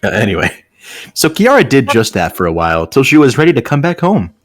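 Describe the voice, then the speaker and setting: A man's voice: mid-range voice, big pitch swings, fairly quick, dry recording, studio quality. A man doing a casual read-aloud for friends, breaking off into joking, sarcastic asides. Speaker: host reading fan fiction aloud with friends.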